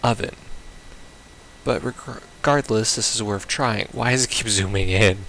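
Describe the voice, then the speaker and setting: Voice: medium pitch, unhurried, a bit bright, slight background noise, rough recording. A game streamer giving casual, reactive commentary in a sweary, irreverent way, sometimes reading game text aloud.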